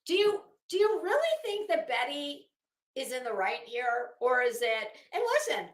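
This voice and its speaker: A woman's voice: high voice, normal speed. A woman hosting a livestream, giving a theatrical, sarcastic reading with off-the-cuff commentary. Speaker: livestream host. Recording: echoey, roomy sound.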